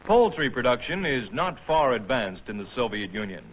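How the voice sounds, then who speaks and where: An American man reading formal, measured, scripted narration in the manner of a 1950s documentary newsreel. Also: medium pitch, big pitch swings. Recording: tinny band-limited old film soundtrack.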